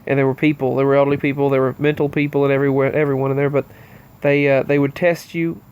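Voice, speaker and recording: A man's voice: medium pitch, flat delivery, fast, darkish tone, clean tone. A man hosting his channel, with a stuffy nose from allergies, running loosely and earnestly through a list of strange news in a casual monologue. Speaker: channel host.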